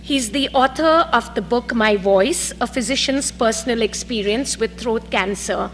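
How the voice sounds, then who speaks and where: A woman hosting a medical lecture in a hall, introducing the guest speaker in a measured way with occasional fillers. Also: fairly high pitch, slight background noise. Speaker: faculty host introducing the speaker.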